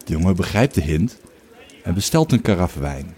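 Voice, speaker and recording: Male narrator, extremely low pitch, warm dark tone, measured, scripted storytelling. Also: very animated.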